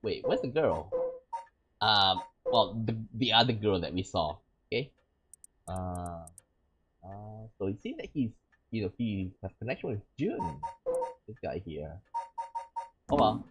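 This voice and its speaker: A man's voice: on the low side, very animated, a little slow, long pauses, over music. A man from Malaysia, hosting a let's-play as a VTuber, rambling with plenty of fillers between reading the game's text. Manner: rambling, full of fillers like "like" and "you know". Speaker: VTuber gaming host.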